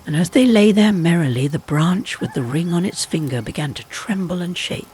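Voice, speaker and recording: A man narrating a scripted folk tale in a dramatic, expressive way. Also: fairly high pitch, normal speed, soft background music. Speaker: storyteller narrator.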